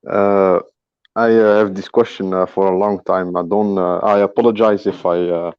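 A man's voice: low voice, flat delivery, normal speed, smooth, dry recording, lo-fi sound. A man from Belgium, calling in with a question and hesitating often as he searches for words. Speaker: caller.

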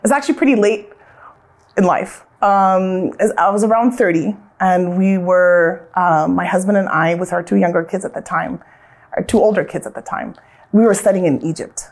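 A woman's voice: lowish voice, steady tone, moderate pace. An American woman from Southern California, in her thirties, reflecting earnestly on her life in a casual, storytelling way, with frequent restarts.

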